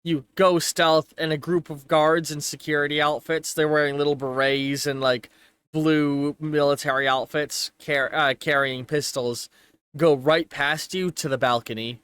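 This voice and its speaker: A man runs a tabletop roleplaying game, describing scenes off the cuff. His voice is clear and a bit high, recorded close and dry, with casual, halting delivery as he feels for the next word. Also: little pitch movement, a bit bright, no echo. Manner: halting, casual, pausing to find words. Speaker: game master. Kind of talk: improvised tabletop game narration.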